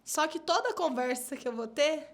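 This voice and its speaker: A woman with a Minas Gerais accent hosting a casual podcast chat, lively and expressive. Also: sits high, normal speed. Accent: Minas Gerais Brazilian Portuguese. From born in São Paulo, raised in Minas Gerais. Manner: lively, expressive, playful asides. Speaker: podcast host.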